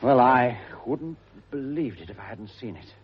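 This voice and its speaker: An actor playing a calm, questioning gentleman detective in a radio drama. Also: medium pitch, normal speed, lo-fi sound, a little hiss or hum, natural voice. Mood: puzzled.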